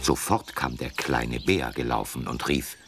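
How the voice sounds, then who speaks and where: A man narrating a scripted children's story in a gravelly voice. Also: very deep voice, expressive, unhurried. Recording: music behind the voice. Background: loud music.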